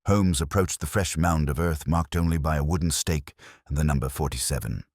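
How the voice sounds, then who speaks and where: A man's voice: extremely low pitch, a little fast, a little mellow, dry recording, clean studio sound. A man reading scripted first-person mystery fiction as a narrator, in a solemn, atmospheric storytelling manner. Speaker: audiobook narrator.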